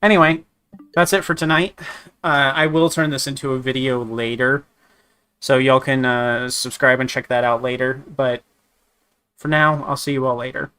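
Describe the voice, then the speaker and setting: A man with a slightly raspy voice from a sore throat, chatting casually while reviewing games, rambling with many restarts and fillers. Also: medium pitch, steady tone, moderate pace, sharp tone. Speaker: gaming streamer. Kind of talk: casual game review chat.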